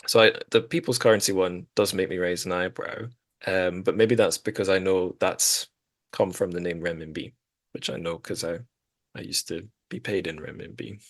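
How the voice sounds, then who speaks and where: Low-voiced man hosting a book podcast. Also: steady tone, moderate pace, a bit bright. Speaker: podcast host. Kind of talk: casual literary discussion.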